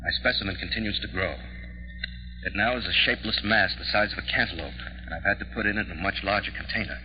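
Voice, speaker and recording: An actor playing a nervous, obsessed middle-aged American husband and amateur scientist in a brooding, dramatic 1940s radio horror style. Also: male voice, sits low, quick pace, natural voice. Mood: brooding dread. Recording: muffled, noisy old radio recording, reverberant.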